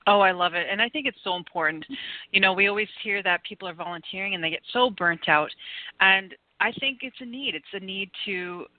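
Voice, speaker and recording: A woman's voice: medium pitch, fairly quick, no echo, phone-quality sound. A woman hosting a conversational interview show, effusive and encouraging in the way she talks. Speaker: show host.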